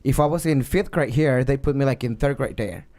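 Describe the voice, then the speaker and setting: A man's voice: medium pitch, fairly quick, a little mellow. A male guest from Mexico telling his story in a chatty, rambling way. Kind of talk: casual chat.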